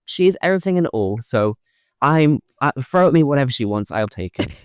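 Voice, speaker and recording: A man's voice: mid-range voice, animated, fairly quick, smooth, dry recording, clean studio sound. A young man from the Welsh borders, a podcast guest explaining things earnestly and laughing often. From Welsh borders (Shropshire and Powys). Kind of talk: casual interview talk.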